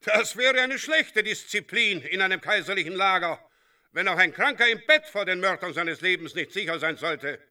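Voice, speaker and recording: A man's voice: high-pitched, expressive, normal speed, sharp tone, exaggerated voice. A man playing a stern, commanding prince-elector in a radio drama, imperious and formal, heard at some distance. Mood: stern, indignant. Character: commanding male Elector of Saxony, stern ruler. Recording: voice at a distance from microphone.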